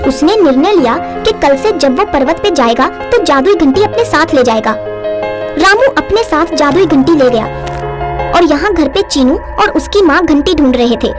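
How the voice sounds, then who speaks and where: A woman narrates a children's story from a script. Her voice is high in pitch, and music plays clearly behind her. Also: animated, normal speed.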